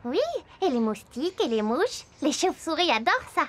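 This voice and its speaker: A woman voicing a polite, gentle female bat who patiently explains things in a children's cartoon. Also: sits high, very animated, fairly quick.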